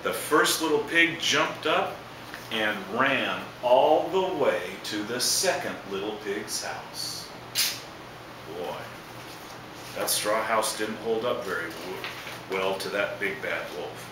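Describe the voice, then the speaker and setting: A man's voice: on the low side, expressive, takes it slowly. A man reading a children's storybook aloud as a storyteller, adding asides. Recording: echoey, distant, noisy room recording.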